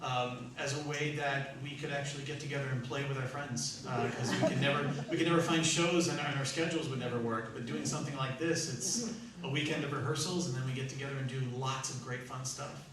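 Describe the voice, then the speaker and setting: A man hosting an audience question session for a theatre troupe, telling anecdotes in an animated, joking way. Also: mid-range voice, fast, over a noisy crowd. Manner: animated, joking, often laughing. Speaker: radio theatre troupe founder and host. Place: live hall with an audience. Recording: echoey hall, distant mic, noisy.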